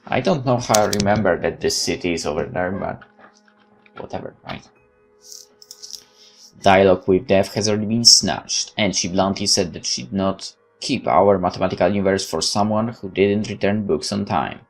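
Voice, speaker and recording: Low gravelly man reading a game aloud. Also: moderate pace, soft background music, low-quality recording. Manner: informal, self-interrupting, muttering asides. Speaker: let's play host. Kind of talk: reading a visual novel aloud with casual reactions.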